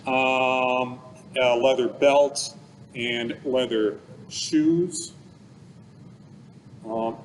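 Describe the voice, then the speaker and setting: A man's voice: on the high side, a little hiss or hum. A man giving an informal, plain-worded explanatory talk for children, like a museum expert. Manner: casual, plain-worded explanations with everyday comparisons.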